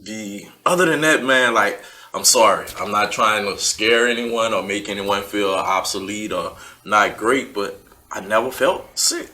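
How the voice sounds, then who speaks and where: A man's voice: on the low side, a little slow, bright, forceful tone. A man co-hosting a casual podcast chat, easygoing in manner. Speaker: podcast co-host.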